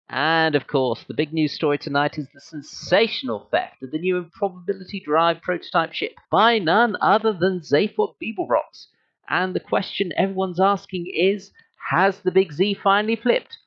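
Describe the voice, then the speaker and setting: A man's voice: sits high, moderate pace, gravelly, lo-fi sound. A man playing a brash, upbeat galactic radio newsreader in an amateur audio drama, with punchy announcer patter. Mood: upbeat, showy. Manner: showy, punchy announcer delivery.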